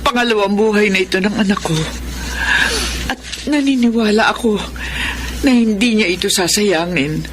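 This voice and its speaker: A woman's voice: mid-range voice, moderate pace, long pauses, noisy background, close to an everyday voice. An actress playing a kindly, devout older mother with emotional delivery in a Filipino radio drama. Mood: moved, hopeful.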